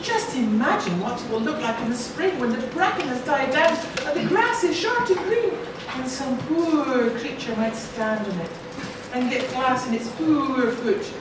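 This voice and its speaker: A brisk, nagging puppet lady acted by a woman scolds in a high, swooping voice. It is a live children's show, recorded from far off in a very reverberant, noisy hall. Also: expressive. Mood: scolding. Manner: exclamatory, nagging.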